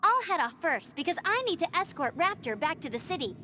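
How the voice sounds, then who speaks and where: A woman's voice: extremely high pitch, big pitch swings, brisk, sharp tone, a little hiss or hum, exaggerated voice. A woman voicing an upbeat, restless young scout in a video game, chirpy and chatty. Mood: sheepish. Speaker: game character. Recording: game audio with music behind.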